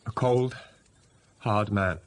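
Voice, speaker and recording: A man's voice: lowish voice, slow pace. An actor playing a stern, self-denying young clergyman in a period radio drama, speaking formally and measuredly. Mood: intense, austere.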